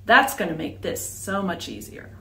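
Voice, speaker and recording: A woman's voice: lowish voice, very animated, moderate pace, vocal fry, sharp tone, distant mic, heavily acted. Woman voicing teenage hunter girl, scornful, drawling, cold, roomy sound. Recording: roomy echo.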